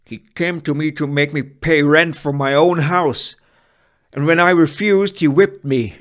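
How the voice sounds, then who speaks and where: A man's voice: fairly high pitch, steady tone, a little slow, pitched above the performer's own voice, natural voice. A man voicing a bitter, defiant saloon matron with slurred words in a Western script reading. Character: defiant battered saloon matron, rousing her townsfolk.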